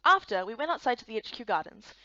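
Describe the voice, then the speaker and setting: A woman's voice: fairly high pitch, very animated, quick pace, bright, forceful tone, dry recording, lo-fi sound. A woman reading scripted first-person story narration for a visual novel game.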